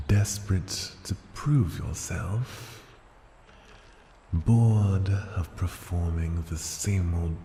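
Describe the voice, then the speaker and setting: Man as a very deep, gravelly ancient dragon. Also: mellow, exaggerated voice. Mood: haughty. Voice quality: low, gravelly, breathy. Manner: condescending, taunting, drawn-out phrases dipping into breathy murmurs. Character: ancient male dragon in human form, haughty, teasing, seductive. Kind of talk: ASMR fantasy roleplay, slow theatrical delivery. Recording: echoing reverberant hall, background ambient noise.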